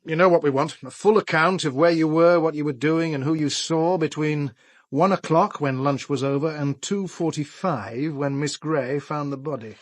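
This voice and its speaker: A man acting a shrewd British detective inspector in a radio drama, his voice a little high for a man and closely recorded in a dry space. He questions in a wry, clipped, probing way, with sardonic edge. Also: moderate pace, no room sound, natural voice. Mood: brisk, probing. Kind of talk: full-cast radio drama.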